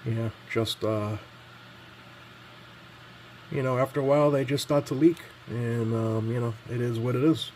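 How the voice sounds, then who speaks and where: Halting man talking over background noise. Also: mid-range voice, darkish tone. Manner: halting, hesitant delivery heavy with ums and pauses. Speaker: repair technician presenting his bench work. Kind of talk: casual unscripted bench commentary.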